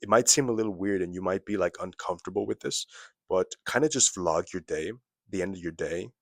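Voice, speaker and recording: Deep-voiced young man giving advice. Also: brisk, no room sound, studio quality. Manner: casual, instructive, repeats phrases for emphasis. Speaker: self-improvement vlogger. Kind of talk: advice talk to camera.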